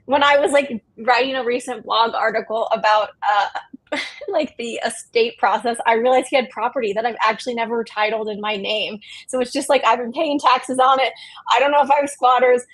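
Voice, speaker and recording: A dry, close recording of a woman with a fairly high voice. She talks casually as a guest, loose and rambling, with a self-deprecating touch. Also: steady tone, a little fast, a bit bright, no echo. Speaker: interview guest, company co-founder. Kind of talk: casual personal chat.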